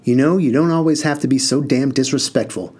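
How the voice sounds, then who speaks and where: A man voicing a stern, gruff father who barks his words in an audiobook. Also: medium pitch, brisk, a little mellow, voice pushed up, lightly acted. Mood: scolding. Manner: barking, gruff.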